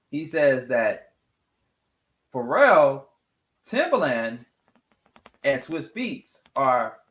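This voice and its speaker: A man's voice: medium pitch, fairly bright tone, reverberant. A man hosting a morning show, talking casually about music and repeating phrases for emphasis. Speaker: radio morning show host.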